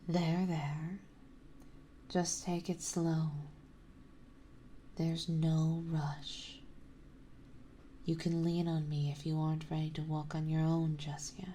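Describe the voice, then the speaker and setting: A woman playing a genteel, possessive vampire mistress in an ASMR roleplay, speaking softly, politely and soothingly. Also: low-pitched, flat delivery, a little hiss or hum. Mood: soothing, tender. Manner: soothing, softly spoken, polite, unhurried, doting. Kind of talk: ASMR roleplay acting, partly whispered.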